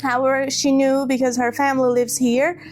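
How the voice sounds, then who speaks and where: A woman's voice: high-pitched, little pitch movement, moderate pace, faint music behind. A woman telling a personal story to camera, hurt and hesitant, often restarting her words. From Iran. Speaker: vlogger.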